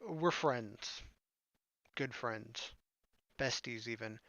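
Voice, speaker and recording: A man's voice: medium pitch, very slow, pushed and bright, dry recording, poor audio. A man hosting a visual novel playthrough, reading text aloud and adding casual, joking asides. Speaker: gaming video host.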